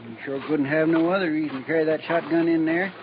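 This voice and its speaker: An actor playing a deferential, soft-hearted frontier deputy in a 1950s radio Western, with drawling rural speech. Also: male voice, fairly high pitch, fairly even intonation, fast talker, close to an everyday voice. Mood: curious, worried. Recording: muffled, noisy 1950s radio recording.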